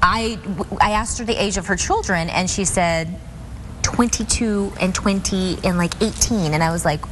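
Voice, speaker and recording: A woman's voice: medium pitch, normal speed, a little hiss or hum, poor audio. Bubbly woman hosting a TV show. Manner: bubbly, chatty, enthusiastic interjections. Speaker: TV talk show host. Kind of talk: live TV interview hosting. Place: TV studio.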